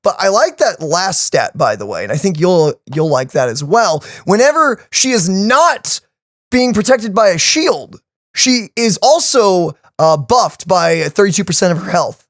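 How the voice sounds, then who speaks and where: A man co-hosting a casual game reaction, his pitch swinging up and down as he talks. He explains things loosely, full of "uh" and "like", and sounds close and dry on the mic. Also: unhurried, no echo. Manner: animated, explains game stats with many fillers. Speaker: co-host of a game reaction channel.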